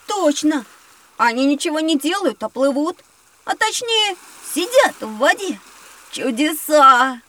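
Bright, high-voiced eager little boy. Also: very animated, a little slow, distant mic, exaggerated voice. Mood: amazed, curious. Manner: eager, simple, exclamatory. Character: curious cheeky little boy Petya. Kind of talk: children's educational audio play acting.

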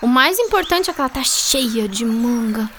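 A woman's voice: on the high side, expressive, normal speed, fairly bright tone, a little hiss or hum. A woman playing a feisty, complaining young girl in a children's audio drama. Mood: eager. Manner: complaining, exclamatory, colloquial. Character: Laura, whiny, feisty young girl who loves food.

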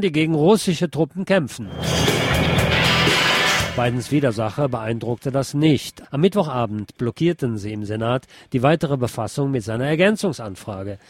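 A man at an ordinary middle pitch reads newspaper articles on air at a measured, even pace. He slips sarcastic asides in between. Faint music plays behind him. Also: no room sound. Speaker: radio host.

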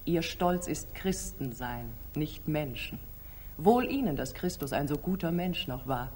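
Proud, shrewd royal sister, theatrical delivery, lively melodic pitch, vintage German radio drama. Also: female voice, measured pace, a little hiss or hum. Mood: scornful. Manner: theatrical, precisely declaimed. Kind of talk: 1940s German radio stage-classic acting.

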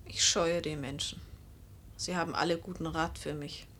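A woman's voice: deep voice, fairly even intonation, normal speed, fairly bright tone, slight background noise. A woman playing a passionate, remorseful young wife and mother in a German radio play, intimate and confessional in manner. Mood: guarded. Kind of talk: German radio play acting and first-person narration.

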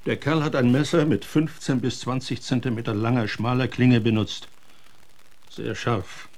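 An actor playing a seasoned, frank frontier town doctor in a German radio drama, matter-of-fact in manner. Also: male voice, medium pitch, fairly even intonation, normal speed, darkish tone, close to an everyday voice. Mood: clinical, pondering.

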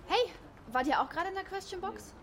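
A woman's voice: very high voice, expressive, a little fast, a bit bright, a little hiss or hum. Earnest young woman in radio drama. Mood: curious, probing. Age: young adult. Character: earnest, searching young woman seeking belonging. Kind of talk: naturalistic radio play acting.

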